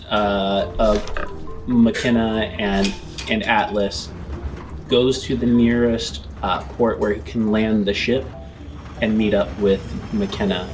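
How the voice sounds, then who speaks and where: A man narrating a tabletop game as game master, in deliberate phrases with frequent pauses. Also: lowish voice, poor audio. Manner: deliberate, pausing often between phrases.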